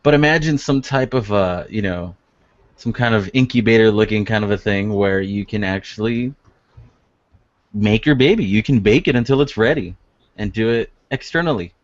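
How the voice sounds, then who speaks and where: A man's voice: on the low side, normal speed, dry recording, dull audio. A man co-hosting a casual web show, teasing his co-host in dry banter over a video call.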